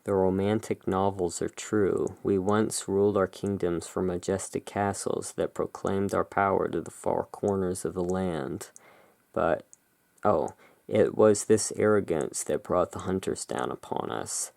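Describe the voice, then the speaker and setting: A low voice with a lot of fry moves very slowly through a gothic ASMR roleplay. The delivery is hushed and slightly theatrical, shifting between reading cards aloud and relaxed commentary. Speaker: ASMR creator, tarot deck reviewer.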